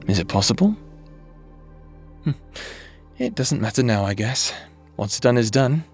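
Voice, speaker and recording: An actor playing a weary, tender husband in a dramatic audio roleplay, speaking wistfully and murmuring with breathy pauses. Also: male voice, medium pitch, sing-song, a little mellow, soft background music. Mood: wistful, probing.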